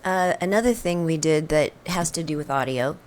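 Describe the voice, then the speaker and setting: Soft-voiced woman co-hosting. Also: low voice, normal speed, slight background noise. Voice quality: soft, slightly breathy voice. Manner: prompting questions, storytelling. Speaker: co-host. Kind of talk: casual interview chat.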